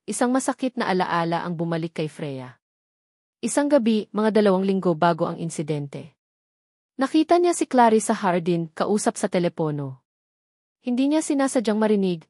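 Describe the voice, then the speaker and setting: A woman reads scripted fiction in a dramatic, measured storytelling manner, in short weighted phrases. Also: medium pitch, darkish tone, no echo, clean studio sound. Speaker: story narrator.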